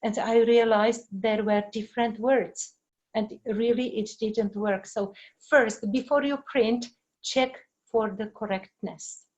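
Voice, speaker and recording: A middle-aged woman with a Czech accent, a native Czech speaker, teaching in an informal webinar with halting, searching delivery. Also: fairly high pitch, fairly even intonation, a little mellow, lo-fi sound. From Czech Republic. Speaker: language teacher giving a webinar. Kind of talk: informal lecture with explanations and answers.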